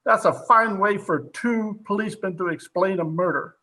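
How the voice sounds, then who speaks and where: An actor playing a hard-nosed, sarcastic police captain in an old-time radio drama, interrogating forcefully and full of disbelief. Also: male voice, high-pitched, normal speed, rough recording.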